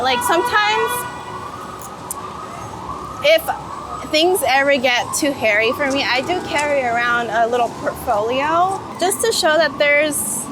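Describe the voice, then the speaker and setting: A woman chatting informally and casually in an interview outdoors on a city street. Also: sits high. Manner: informal, chatty, laughs easily. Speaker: street photographer, guest. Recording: noisy city street with traffic.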